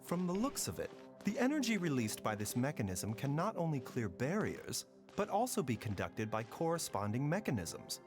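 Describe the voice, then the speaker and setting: A man playing a composed, analytical scholar in a video game, speaking in a measured, articulate way. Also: medium pitch, huge pitch swings. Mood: calm, thoughtful. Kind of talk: scripted video game dialogue. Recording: game music underneath.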